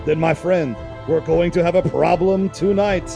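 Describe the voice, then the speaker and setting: A man's voice: fairly high pitch, steady tone, moderate pace, gravelly, mellow, soft background music. A man voicing a roguish, cocky Cajun outlaw hero with an easygoing way of talking. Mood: coaxing, cocky.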